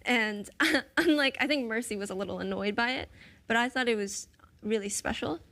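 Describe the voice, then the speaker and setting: A woman's voice: a little high, normal speed, gravelly, a bit bright. A young American woman, an actress on a roundtable, talking thoughtfully and with animation. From United States. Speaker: actress guest. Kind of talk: roundtable conversation.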